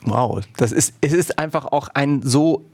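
A man hosting a conversational interview, phrasing his thoughts in a halting, reflective way. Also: medium pitch, a little mellow, slightly rough, dry recording. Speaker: interview host.

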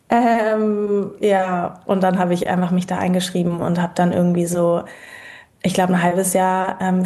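A woman in her thirties from Berlin, a guest talking in a relaxed, reflective way with many pauses and fillers. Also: mid-range voice. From Berlin, Germany. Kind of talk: casual interview chat.

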